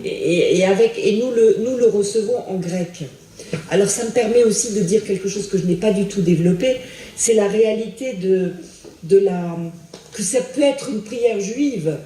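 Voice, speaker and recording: A woman's voice: medium pitch, normal speed, warm tone. A middle-aged woman, a theology professor, answering audience questions thoughtfully with many restarts and hesitations. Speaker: theology professor giving a lecture. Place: attic lecture hall in a school. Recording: echoey hall from far off.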